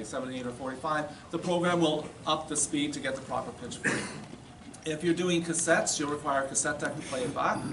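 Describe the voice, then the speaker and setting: A middle-aged man giving a plain-spoken, explanatory demonstration talk in an informal way. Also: a little high. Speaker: presenter at a society meeting. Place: classroom or lecture room, indoors. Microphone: far camera. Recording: roomy, distant, noisy camera recording.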